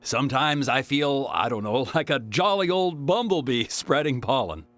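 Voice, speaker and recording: A man's voice: mid-range voice, expressive, moderate pace, fairly bright tone, no room sound, lightly acted. An actor playing a jovial, talkative travelling salesman in a video game cutscene, with a folksy, chatty manner. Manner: chatty, folksy, chuckling, exclamatory.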